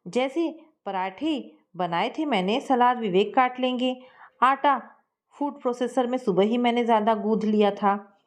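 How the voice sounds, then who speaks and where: A woman's voice: fairly high pitch, measured pace, lightly acted. A woman voicing a cheerful, frank young daughter-in-law in a family story, speaking in a chirpy, plain-spoken way.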